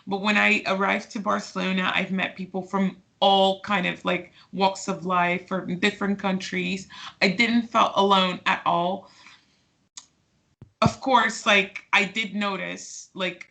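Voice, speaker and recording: Bright-toned woman in her twenties, gravelly. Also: medium pitch. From Portugal. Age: 20s. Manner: hesitant, many fillers and restarts. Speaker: interview guest. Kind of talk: casual conversational interview.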